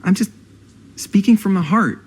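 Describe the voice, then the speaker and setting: An actor playing a nervy, earnest young American brother in a video game drama, speaking casually and hesitantly, eager to please. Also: male voice, high voice, normal speed, husky. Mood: sheepish pleading.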